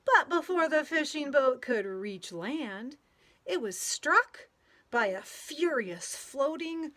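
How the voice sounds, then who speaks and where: Slow, animated woman reading a picture book aloud. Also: high-pitched. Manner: expressive, drawn-out storytelling with playful sound words. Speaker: storybook read-aloud reader.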